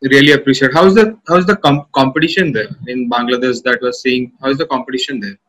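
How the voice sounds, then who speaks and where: A man hosting a relaxed one-on-one podcast, chatting and putting questions to his guest. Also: medium pitch, quick pace, poor audio. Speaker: podcast interviewer. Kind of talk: casual interview chat.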